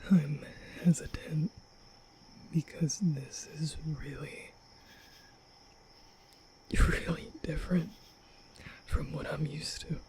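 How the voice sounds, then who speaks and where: A man playing a nervous, kind-hearted young vampire in an ASMR roleplay, speaking softly and often whispering, with hesitant, flustered stammering. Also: on the high side, fairly even intonation, slow, pauses often, low-quality recording. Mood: hesitant, softened. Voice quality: soft, breathy, often whispering.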